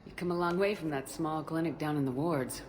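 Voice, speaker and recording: A woman's voice: low-pitched, fairly quick, a little mellow, close to an everyday voice. A woman playing a composed, direct military commander in video game dialogue. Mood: composed, inquiring.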